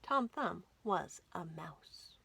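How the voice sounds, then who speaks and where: A woman reading a children's story aloud as a storytime host, with dramatic, expressive delivery. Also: fairly low pitch, drawn-out words, vocal fry, slightly rough, no echo. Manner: dramatic, animated storytelling with whispers and emphasis. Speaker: library storytime reader.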